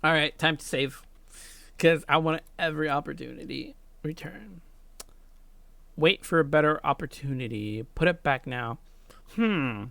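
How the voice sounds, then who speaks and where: A man's voice: fairly high pitch, a little slow, creaky, pushed and bright, no echo. A man doing a let's play, reading a visual novel aloud, acting out the dialogue with casual commentary and stumbling over words, with music behind him.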